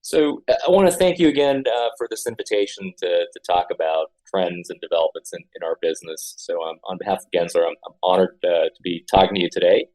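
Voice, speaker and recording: Earnest man telling his story, low voice. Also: fast, hardly any pauses, darkish tone, low-quality recording. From Honolulu, Hawaii. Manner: earnest, frequent fillers and repeated words. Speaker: guest architect. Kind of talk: conversational interview.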